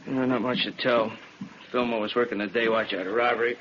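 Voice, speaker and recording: A man's voice: medium pitch, fairly even intonation, brisk, natural voice. A man playing a no-nonsense police captain in an old radio drama, giving a briefing in clipped, factual phrases. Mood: grim, factual. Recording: dull, faintly noisy old radio recording.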